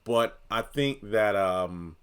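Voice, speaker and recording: A man with a lowish voice, the kind heard on a fan's recap channel, chatting to viewers as he puzzles out last night's episode. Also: takes it slowly, a bit bright, smooth. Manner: conversational, thinks aloud, addresses viewers directly. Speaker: video commentator. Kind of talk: casual opinion commentary on a TV drama.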